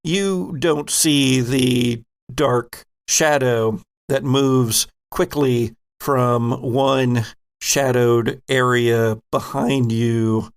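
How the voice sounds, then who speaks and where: Gravelly-voiced male game master. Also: mid-range voice, fairly even intonation. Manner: laid-back, wry, pauses in his narration. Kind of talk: narrating a tabletop game.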